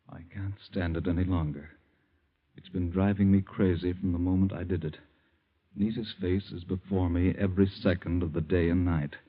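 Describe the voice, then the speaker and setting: Tormented letter reader, deep voice, solemn halting delivery, tinny vintage radio sound. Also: male voice. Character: grave man reading a tormented suicide note. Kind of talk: 1940s radio drama acting.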